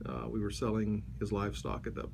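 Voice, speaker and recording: Halting man in his forties, faint noise. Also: lowish voice, little pitch movement, creaky. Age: 40s. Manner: halting, many ums and restarts. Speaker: interviewee. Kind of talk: recalling and explaining in a casual interview. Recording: background noise.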